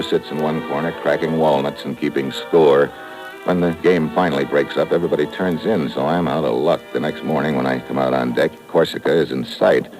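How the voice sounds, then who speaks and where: An actor playing a tough, wisecracking American secret agent, narrating dryly in vintage radio drama style. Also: male voice, rumbling low, low-quality recording. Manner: dry, sardonic, clipped. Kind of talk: 1950s radio drama acting, first-person hardboiled narration. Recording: vintage radio recording with loud music.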